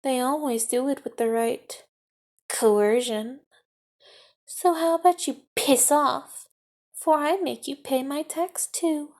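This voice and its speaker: A woman's voice: high voice, slow pace, darkish tone. A woman voicing a menacing, knife-wielding street extortionist in an ASMR audio roleplay, taunting and mock-sweet with hissed whispers. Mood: scornful.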